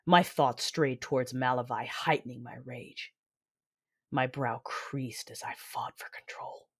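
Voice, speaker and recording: An actress playing a vengeful female Sith lord, narrating her own story in an audio drama with intense, deliberate delivery. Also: a bass voice. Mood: controlled fury. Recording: music behind the voice.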